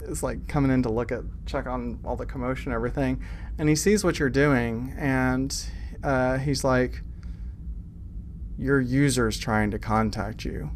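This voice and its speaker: A man running a tabletop game, improvising narration in a halting, conversational way. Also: medium pitch, fairly even intonation, slight background noise. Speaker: game master.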